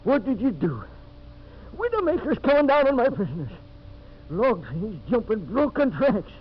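Casting: fiercely accusing frontier lawman, high-pitched, intense, emphatic, muffled old radio recording. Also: big pitch swings, moderate pace, breathy edge, full character voice. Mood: bitter, accusing. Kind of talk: 1950s radio drama acting.

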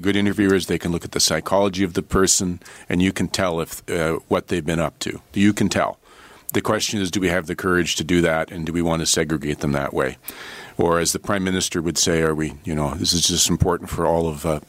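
A man's voice: low voice, fairly even intonation, no room sound. A man speaking as a studio guest on a radio talk show, earnest and emphatic. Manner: earnest, emphatic, quick-talking. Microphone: studio microphone.

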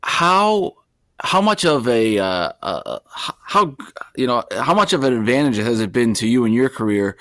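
A man's voice: mid-range voice, very animated, normal speed, fairly bright tone, no room sound. A man hosting a casual interview, friendly, joking and enthusiastic. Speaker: host.

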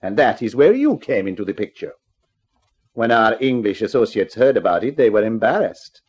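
An actor playing a smooth-talking, dangerous crime boss in a 1950s radio drama, husky-voiced. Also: male voice, on the low side, very animated, normal speed, a little mellow. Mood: smug.

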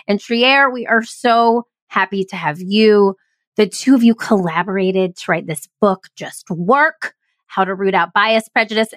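A woman's voice: medium pitch, fairly bright tone, dry recording. Woman, podcast host, enthusiastic, chatty, dry recording. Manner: enthusiastic, quick to laugh along. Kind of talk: casual podcast interview.

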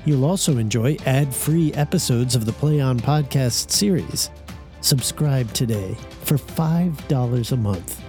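Man, producer reading a promo, upbeat, mellow warm tone, soft music underneath. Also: expressive. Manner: upbeat, brisk pitch. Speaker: executive producer. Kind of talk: scripted promo read.

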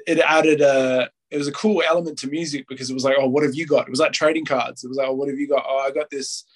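A man talks in a relaxed podcast chat. His voice sits at an ordinary middle pitch. He rambles, stacks up "like", and slips into acted-out quotes. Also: fast, breathy edge. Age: late 20s. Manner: rambling, heavy use of "like", acts out quotes.

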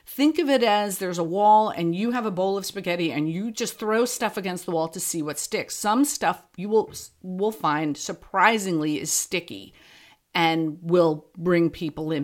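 Podcast guest, female, medium-pitched voice, casual and plain-spoken, encouraging conversational delivery. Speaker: podcast guest, fiction podcast creator.

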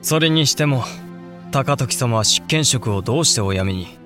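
A man's voice: medium pitch, animated, moderate pace, over music, lightly acted. A male actor playing an earnest young samurai lord and new father, speaking formal, archaic Japanese in a historical audio drama. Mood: respectful, puzzled.

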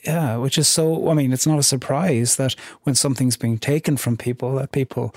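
An Irish man hosting a poetry podcast, asking gentle, attentive questions in conversation. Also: medium pitch, fairly even intonation, a little fast, no echo. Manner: gentle, attentive, brief affirmations. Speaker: podcast host. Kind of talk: interview host conversation.

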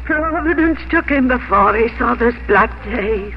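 Fretful, self-pitying mother of grown children, slow and wailing, on a muffled, noisy vintage radio recording. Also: on the high side, expressive. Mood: bitter lamenting. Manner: wailing, lamenting, melodramatic. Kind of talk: 1950s radio drama acting.

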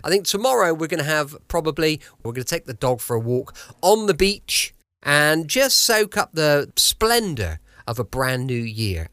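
A man's voice: fairly high pitch, fairly bright tone, no room sound. A man with an English accent hosting a podcast in a chatty, informal, enthusiastic way. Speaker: podcast host. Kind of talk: chatty show introduction.

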